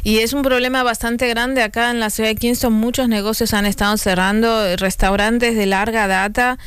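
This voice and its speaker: A woman's voice: fairly high pitch, steady tone, moderate pace, fairly bright tone, clean tone, no echo. Woman hosting a radio interview, super clean dry voice, upbeat. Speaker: radio and live stream host.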